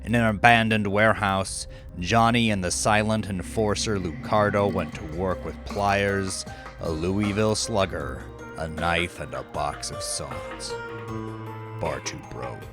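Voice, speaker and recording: A man narrating his own dark novel like a dramatic audiobook, brooding and deliberate, with gravelly phrases that often sink toward breathiness. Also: deep voice. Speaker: novelist reading own novel, podcast host. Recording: faint music bed under voice. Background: faint music.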